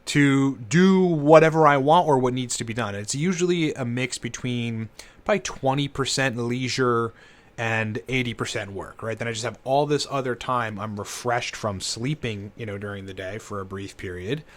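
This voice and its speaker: A man in his late thirties, talking off the cuff in a loose, rambling monologue. His pitch sits in the middle range for a man. He peppers every thought with "you know" and "like" and swears casually as he goes. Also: moderate pace. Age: 30s. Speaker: channel host, entrepreneur. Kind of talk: casual unscripted monologue to camera.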